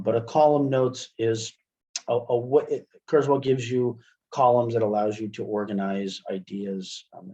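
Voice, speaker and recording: A middle-aged American man presenting an online software lesson step by step, in an informal, halting way, often checking in with "right?". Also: medium pitch. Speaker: webinar presenter and instructor. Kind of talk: software tutorial, explaining step by step. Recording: muffled, dull computer-call audio.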